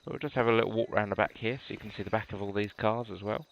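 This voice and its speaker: A man's voice: on the low side, fairly even intonation, rapid-fire, vocal fry, muffled recording, slight background noise. A British man with a stuffy head cold, casually walking viewers through police cars in a game, rambling with many ums and restarts. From United Kingdom. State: congested from a cold. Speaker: gamer showing police cars. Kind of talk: casual gameplay walkthrough.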